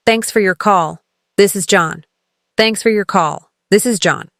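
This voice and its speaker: A woman leading a scripted English lesson as a teacher, encouraging the learner and clearly enunciating model phrases for repetition. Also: medium pitch, measured pace, no room sound, studio quality. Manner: encouraging coaching.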